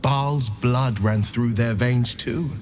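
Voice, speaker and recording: An actor playing a noble, encouraging male hero in a fantasy game, speaking in a deliberate, stirring manner. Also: medium pitch, darkish tone, a little hiss or hum, natural voice. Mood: earnest encouragement. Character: noble, earnest heroic male companion, encouraging. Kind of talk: game dialogue acting.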